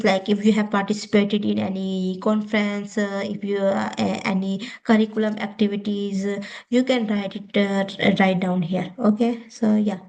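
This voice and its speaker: A woman's voice: mid-range voice, creaky, dark tone. Pakistani lady w urdu as first language doing a casual how-to, stop and start, says okay a lot. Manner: halting, repetitive, often says okay. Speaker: tutorial host. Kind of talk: informal step-by-step screen tutorial.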